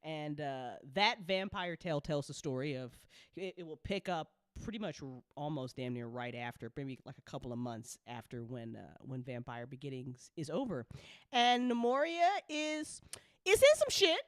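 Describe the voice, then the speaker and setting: A woman's voice: sits low, expressive, normal speed, clear, resonant tone, no echo, studio quality. A woman hosting a casual after-show chat in a playful, teasing, emphatic manner with some swearing. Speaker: host and show creator.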